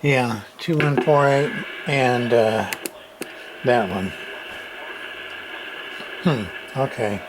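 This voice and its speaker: A man's voice: mid-range voice, very slow, pauses often, noisy background. A man testing and repairing an old radio, muttering test calls and meter readings in casual workbench commentary.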